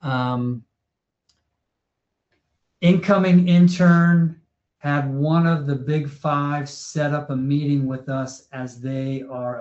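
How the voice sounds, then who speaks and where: A man moderating an online panel discussion. He talks in a relaxed way, thinking aloud with fillers and repeats. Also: mid-range voice. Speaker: webinar moderator. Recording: roomy, dull lo-fi audio.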